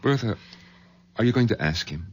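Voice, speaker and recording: An actor playing a practical doctor and husband who speaks brisk and matter-of-fact in a 1970s radio drama. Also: male voice, on the low side, lively intonation, husky. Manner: brisk, matter-of-fact, rising to puzzled questions.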